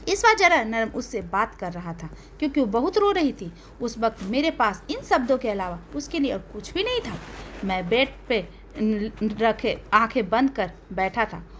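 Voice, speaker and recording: A woman narrating a dramatic first-person audio story in an emotional, expressive way. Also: a little high, slight background noise.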